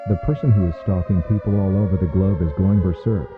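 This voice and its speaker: Phone-like, very deep male alert announcer. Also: fast. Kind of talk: scripted emergency alert broadcast announcement. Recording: muffled phone-like audio with music behind.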